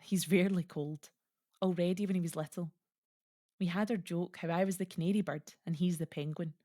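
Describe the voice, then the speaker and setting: A woman's voice: lowish voice, steady tone, fairly quick, dark tone, no echo, clean studio sound, natural voice. A woman performing an audio play monologue as a reflective immigrant mother, reminiscing lyrically. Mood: fond, wistful. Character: reflective Estonian immigrant mother fond of winter.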